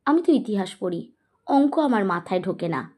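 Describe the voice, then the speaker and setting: A woman's voice: mid-range voice, lively intonation, moderate pace, a little mellow, natural voice. A woman playing a curious, sceptical young history student in an audio story, questioning and wide-eyed. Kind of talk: dramatised audio story acting.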